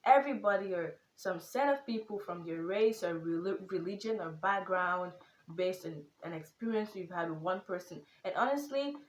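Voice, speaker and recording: A teenage girl with a Nigerian accent that carries hints of British and American, talking straight to camera in a casual vlog. Her voice sits fairly low for a girl, and she speaks with earnest, animated emphasis. The room around her is echoey. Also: normal speed. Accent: Nigerian, with some British and American. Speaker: content creator.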